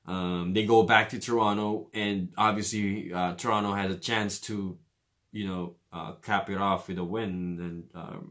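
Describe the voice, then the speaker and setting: A man with a Filipino accent giving casual commentary on a basketball game, filling pauses with "uh" and "man". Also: deep voice, fairly even intonation, fairly bright tone. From Philippines. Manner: casual, many fillers, says "man" often. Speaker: fan commentator.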